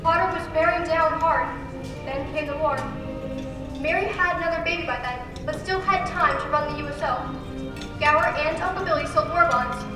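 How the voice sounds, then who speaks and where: A woman's voice: high-pitched, normal speed, close to an everyday voice. A young woman acting as the storytelling heavenly narrator of an old-fashioned radio play, reading scripted narration. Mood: solemn, storytelling. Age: young adult. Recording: echoey live stage, distant mic, music behind.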